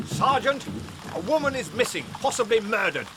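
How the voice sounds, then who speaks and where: An actor playing an irascible, fed-up police inspector with a British accent, loud and exasperated, in a period drama. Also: male voice, very high voice, big pitch swings, moderate pace, background noise. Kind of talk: period detective drama acting. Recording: loud rain outdoors behind voice.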